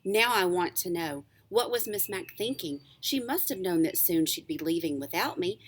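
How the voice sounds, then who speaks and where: A woman, a teacher reading a children's book aloud in an expressive, animated storytelling way. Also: fairly low pitch, moderate pace.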